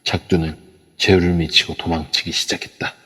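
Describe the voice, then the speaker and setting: A man narrating an audio drama in a steady, measured way. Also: low-pitched, breathy edge. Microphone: phone via a voice chat app. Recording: long reverb.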